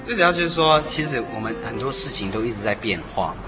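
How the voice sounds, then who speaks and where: A man's voice: mid-range voice, animated, fast. A man speaking as a songwriter guest in an interview, explaining casually and earnestly, repeating phrases for emphasis. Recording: music behind the voice. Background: music.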